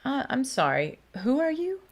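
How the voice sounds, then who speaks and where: A woman's voice: high voice, sing-song, normal speed. A woman playing a weary, skeptical doctor in an audio drama, with a dry, sardonic manner. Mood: wry, uneasy. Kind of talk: scripted audio drama acting.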